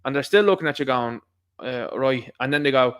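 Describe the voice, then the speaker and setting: An Irish man hosting his own show, talking through current events in a casual, animated monologue and acting out what other people say. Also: medium pitch, a little fast, smooth. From Ireland. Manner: conversational and animated, mimicking others in asides. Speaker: host.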